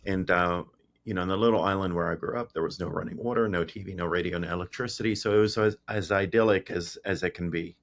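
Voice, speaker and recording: Guest, middle-aged man from Greece: very low, rough voice, easygoing storytelling, lots of ums. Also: fast. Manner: relaxed, frequent fillers and restarts. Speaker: guest, tech founder. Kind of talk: casual interview storytelling.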